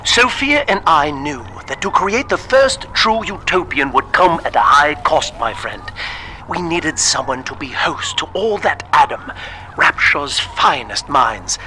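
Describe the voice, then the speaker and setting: An actor playing a doomed scientist in a video game, speaking formally and politely with weary resignation. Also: male voice, fairly high pitch, big pitch swings, unhurried, sharp tone, a little hiss or hum, heavily acted, poor audio. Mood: grave, resigned. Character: doomed male scientist, refined, weary, courteous, resigned to death. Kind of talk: recorded message in a video game.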